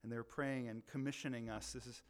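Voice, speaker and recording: Man, loud voice, animated, casual faith talk, repeats words. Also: mid-range voice, quick pace. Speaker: ministry leader.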